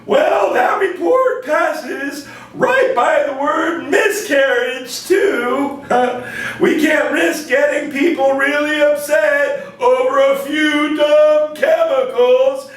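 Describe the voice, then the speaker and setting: Guy doing a squeaky smug puppet official, giggly, drawn out slow. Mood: gleeful. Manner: chuckling, cheerfully callous. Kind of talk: satirical puppet show. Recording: echoey room, mic far off.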